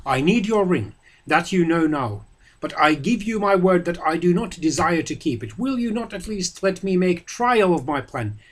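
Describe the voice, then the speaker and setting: A man's voice: high-pitched, expressive, moderate pace, voice pushed up. A man voicing a proud, desperate grown warrior, declaiming with an impassioned, raised voice. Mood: pleading. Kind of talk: dramatic reading of a novel.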